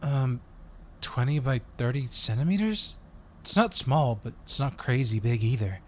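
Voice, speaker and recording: A man's voice: medium pitch, expressive, a little slow, a little hiss or hum, natural voice. A man playing an eager, friendly undercover agent in an audio roleplay, talking in a polite, chatty way. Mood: earnest, polite.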